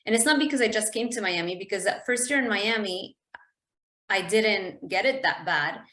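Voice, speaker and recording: A woman's voice: mid-range voice, normal speed, sharp tone, echoey room. A woman from Lebanon hosting a conversational podcast interview. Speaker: podcast host.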